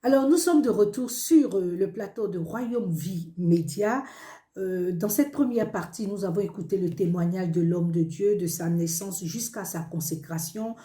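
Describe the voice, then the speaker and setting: Warm-toned woman hosting in an echoey studio. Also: mid-range voice, moderate pace. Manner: affirming, with frequent amens. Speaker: show host.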